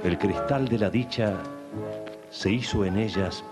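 A middle-aged Argentine man narrating a radio soap opera from a script, solemn, dramatic and measured. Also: on the low side. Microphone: desk microphone, close. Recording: archive studio recording under loud music.

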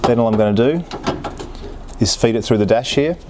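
A man's voice: mid-range voice, fairly quick, a little mellow, slight background noise. A man in his forties giving a how-to demonstration in a plain, practical, step-by-step way. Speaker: host of boat repair channel. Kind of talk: how-to demonstration, explaining steps. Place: workshop garage, indoors.

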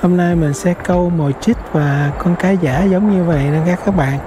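A man's voice: sits high, fairly even intonation, normal speed, noisy background. A man with a Southern Vietnamese accent chats casually to viewers as a fishing vlog host, often addressing them directly. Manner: addresses viewers often, simple step-by-step explaining.

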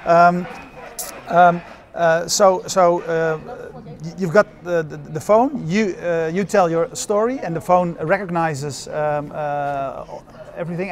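An older man interviewing a guest outdoors at an event, halting with many fillers and restarts. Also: fairly high pitch. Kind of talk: casual festival interview. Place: outdoor courtyard at a busy event.

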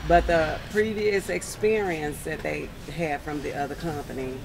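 A woman's voice: deep voice, fairly even intonation, moderate pace, vocal fry, background noise. A woman speaking casually and agreeably as an interview guest in a large indoor show hall. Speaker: interview guest, satellite TV dealer. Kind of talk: casual unscripted chat. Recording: busy exhibition hall, crowd behind.

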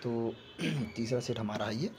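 A man's voice: mid-range voice, a little slow, over music. A young man from an Indian village talking casually to viewers as a fitness vlogger, often repeating his phrases. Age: young adult. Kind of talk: casual workout vlog talk to viewers. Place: gym, later outdoors on a busy street. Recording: noisy phone recording with loud ambient noise.

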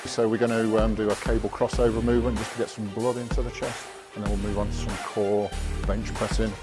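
A man in his fifties coaching a workout as a personal trainer in a gym, talking in a calm, steady, instructive way on a lapel mic. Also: fairly low pitch, quick pace. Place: indoor gym. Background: background music.

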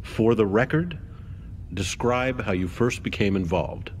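A man's voice: on the low side, lively intonation, measured pace, slight background noise. A man playing a stern, probing middle-aged official questioning witnesses in a video game. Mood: stern, formal. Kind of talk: video game cutscene acting.

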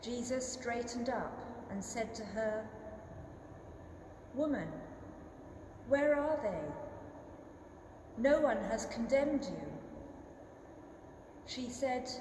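A middle-aged woman reads scripture formally at a very slow, deliberate pace. Her fairly high voice rings around a reverberant church, with long pauses between phrases. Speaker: lay scripture reader. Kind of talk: formal Bible reading. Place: inside a large church, at a lectern. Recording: echoing church with clear noise.

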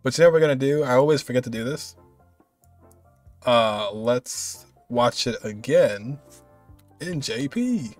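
Slow-talking young man reacting casually. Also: medium pitch, lively intonation. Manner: amused, chuckling, muttered exclamations. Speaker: reaction video host. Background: music from the video he is watching.

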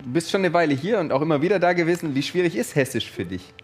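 A man hosting a studio interview show, upbeat and lively as he presents and asks questions, on a headset mic. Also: a little high, rapid-fire, a little hiss or hum. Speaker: show host.

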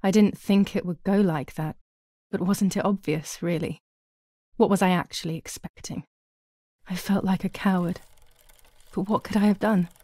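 Actress doing traumatized young woman in a dark video game, flat numb delivery, gravelly creak, somber confession, music behind. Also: mid-range voice, no room sound, lightly acted. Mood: guilty, regretful. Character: traumatized young woman recounting war and asylum, haunted, numb. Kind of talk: scripted dramatic game narration.

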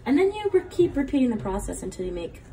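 A woman's voice: on the high side, sing-song, fast talker, a loud crowd. A young American woman hosting a casual vlog, bantering and teasing her friends. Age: young adult. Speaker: vlog host filming friends. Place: home kitchen. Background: loud music.

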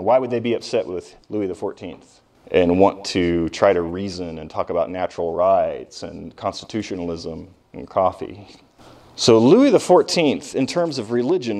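An American man with a fairly low voice lectures like a history professor talking to his class. His delivery is informal and conversational, and he keeps tossing questions out to his listeners as he explains. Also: darkish tone.

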